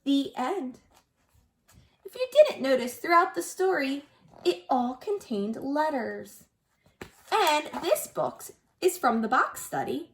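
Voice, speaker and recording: A woman's voice: high-pitched, sing-song, takes it slowly, gravelly, a little hiss or hum. A woman preschool teacher speaking to young children for a story read-aloud.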